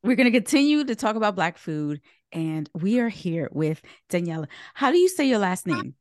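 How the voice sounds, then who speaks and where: A woman's voice: on the low side, normal speed, no echo, clean studio sound. A woman hosting a podcast, playful and joking as she welcomes a guest. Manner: playful, animated, jokes about herself. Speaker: podcast host. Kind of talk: casual podcast chat.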